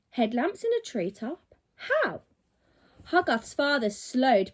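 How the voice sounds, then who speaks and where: Animated high-voiced woman reading a story. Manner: animated, dramatic delivery. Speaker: primary school teacher. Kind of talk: expressive storybook read-aloud for children.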